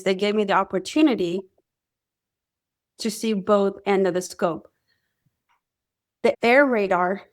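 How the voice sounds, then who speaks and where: Woman telling her story. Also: medium pitch. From Mexico. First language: Spanish. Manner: animated storytelling, acts out quoted voices. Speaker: radar technician guest. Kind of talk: conversational interview.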